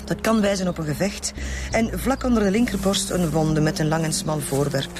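A woman playing a matter-of-fact forensic doctor in a radio drama, measured, with a Flemish accent. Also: deep voice, natural voice. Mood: clinical. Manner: clinical, measured. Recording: clearly noisy outdoor scene ambience.

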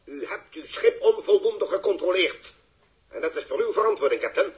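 An actor playing a stern mission official who scolds and commands emphatically in a 1960s radio drama. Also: male voice, sits high, lively intonation, normal speed. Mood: reproachful.

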